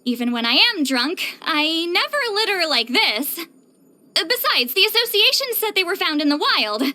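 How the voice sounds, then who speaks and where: A woman's voice: very high-pitched, very animated, moderate pace, bright, forceful tone, heavily acted. An actress voicing a prickly, easily flustered, reclusive shaman in a video game, with a theatrical, defensive manner. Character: prickly, flustered, reclusive female shaman who loves novels and wine. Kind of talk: English video game character voice lines.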